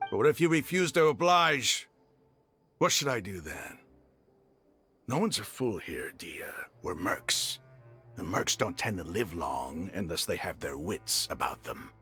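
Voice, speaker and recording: A proud, hostile desert mercenary chief from a video game. The voice is bright and forceful with a gravelly edge, grandiose and full of scorn, with music playing underneath. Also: male voice, medium pitch, exaggerated voice. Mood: suspicious, challenging. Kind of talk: dramatic video game voice acting.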